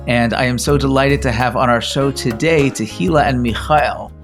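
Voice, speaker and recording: A man's voice: medium pitch, normal speed, creaky, over music. Upbeat podcast host, a man. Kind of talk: podcast interview.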